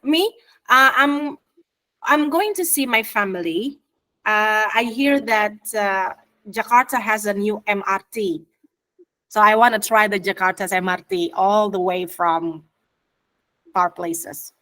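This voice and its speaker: A woman's voice: mid-range voice, measured pace, rough recording. A woman with an Indonesian accent teaching an English class online, leading a role-play and mixing Indonesian with English. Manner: lively, switches between Indonesian and English.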